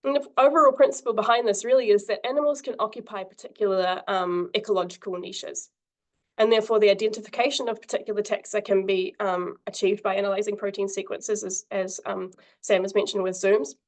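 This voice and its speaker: A woman presents her research over a video call, her voice husky from a cold. She speaks in a friendly way, often pausing on ums and uhs while she explains. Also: mid-range voice, steady tone. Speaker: invited conference speaker.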